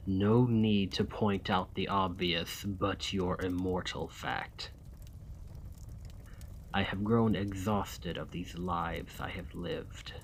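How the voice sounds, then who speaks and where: A man playing a desperate, weary immortal gentleman vampire in an ASMR roleplay, speaking formally and courteously in a breathy, husky voice that often drops to a whisper. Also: low voice, fairly even intonation, takes it slowly, slight background noise, rough recording. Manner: formal, courtly, old-fashioned phrasing.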